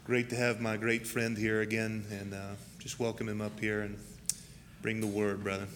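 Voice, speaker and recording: A man, the host pastor, relaxed and brief as he welcomes a guest speaker in a church. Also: lowish voice, normal speed, long reverb tail, slight background noise. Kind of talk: brief introduction.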